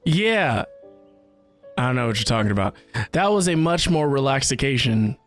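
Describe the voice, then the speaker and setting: Animated woman reacting to a game. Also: a bass voice, moderate pace. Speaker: video game streamer. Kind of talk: casual gaming reaction commentary. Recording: game music behind the voice.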